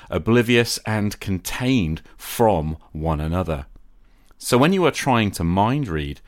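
A man hosting a podcast, delivering a scripted, reflective monologue in a deliberate, explanatory way that addresses the listener directly. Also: low-pitched, no room sound, studio quality. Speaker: podcast host.

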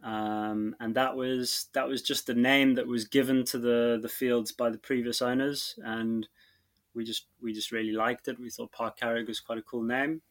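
A man in his thirties with a South African accent, talking about his farm as a podcast guest, slow and halting with many fillers and restarts. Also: medium pitch, level tone, a bit bright. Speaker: guest farmer. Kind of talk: podcast interview.

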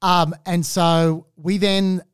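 An Australian man telling a story on a podcast in an animated way, laughing often and restarting his sentences. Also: sits high, takes it slowly, clear, resonant tone, dry recording, studio quality. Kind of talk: casual storytelling chat.

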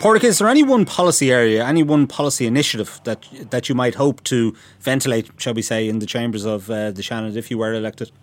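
Brief: fast-talking radio host putting questions to candidates. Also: male voice, medium pitch, animated, a little hiss or hum. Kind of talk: moderating a radio debate.

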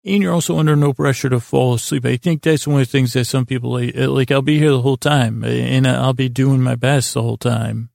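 A man hosting a bedtime podcast, rambling in a halting, meandering, self-deprecating and mildly silly way with a gravelly, creaky voice. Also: mid-range voice, fairly even intonation, darkish tone, no echo, clean studio sound. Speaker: podcast host. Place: small closet.

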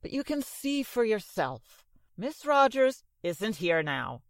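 A woman's voice: a little high, very animated, takes it slowly, dry recording, heavily acted. A woman playing a prim, fussy but trusting building manager in a 1940s style radio drama, polite and proper. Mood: doubtful.

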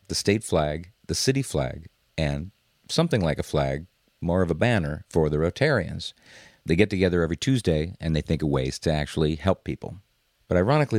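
A man narrating a podcast segment in a measured storytelling way. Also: deep voice, darkish tone, dry recording, studio quality. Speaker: podcast host. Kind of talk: scripted narration.

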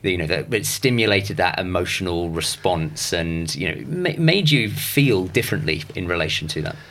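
Deep-voiced male podcast host. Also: lively intonation, a bit bright. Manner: measured, frequent short backchannels. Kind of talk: interview hosting.

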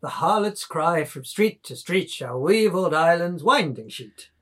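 A man's voice: fairly high pitch, animated, a little slow, a bit bright, husky, full character voice. A man playing a defiant young Irish intellectual poet, declaiming theatrically in a dramatised literary reading. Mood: declamatory.

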